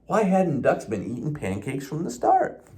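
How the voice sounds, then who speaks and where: Man reading a storybook, very lively pitch. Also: normal speed. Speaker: police officer reading a children's book. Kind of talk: storybook read-aloud.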